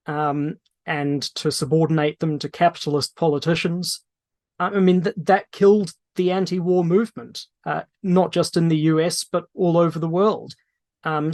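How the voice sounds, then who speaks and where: A man answering audience questions at an online political meeting, talking in a halting way with many restarts and fillers over a video call microphone. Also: fairly high pitch. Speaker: main speaker answering questions.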